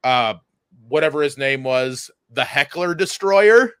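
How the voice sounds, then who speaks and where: A man telling anecdotes in a casual podcast chat as a comedian and host, halting and repeating words, with some swearing. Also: a little high, expressive, fairly bright tone, clean tone, no room sound.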